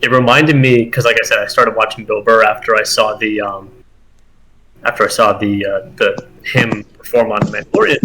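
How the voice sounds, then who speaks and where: A man talking loosely and at length in a casual podcast, full of fillers and restarts. His voice is bright and forceful. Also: on the low side, brisk, rough recording. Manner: rambling, animated, many fillers and restarts. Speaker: podcast co-host. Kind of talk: casual chat.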